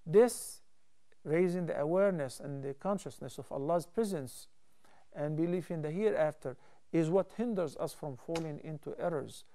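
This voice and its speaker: A man in his thirties, a studio TV scholar, answering callers' questions with calm, measured explanations into a lapel mic. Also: a little high. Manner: calm, measured, explains step by step with hand gestures. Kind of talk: studio call-in Q&A advice.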